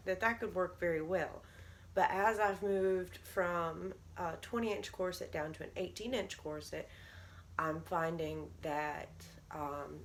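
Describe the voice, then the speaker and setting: A woman's voice: lowish voice, slight background noise. A young woman losing her voice, chatting casually to camera in a rambling way, with lots of ums and restarts. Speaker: vlogger. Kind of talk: casual product talk to camera.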